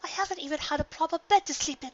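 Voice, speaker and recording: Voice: sits high, very fast, fairly bright tone, lo-fi sound, voice pushed up. A narrator voicing a prim, dramatic female pony in a theatrical, genteel, exclamatory way for a fan fiction audiobook. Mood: dreamy, genteel.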